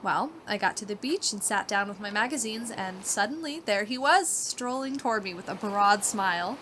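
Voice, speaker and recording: An actress playing a shy, excitable, romantic spinster in her forties, breathless and girlish, in old-time radio drama style. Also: medium pitch, moderate pace, a little hiss or hum, natural voice, low-quality recording. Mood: excited. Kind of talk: 1940s radio acting, diary narration and dialogue.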